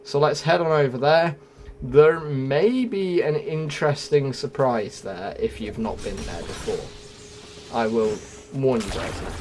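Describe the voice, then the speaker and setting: A man's voice: mid-range voice, noisy background. A man narrating a casual gaming guide in a chatty way, addressing viewers directly and musing as he goes. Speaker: gaming video creator.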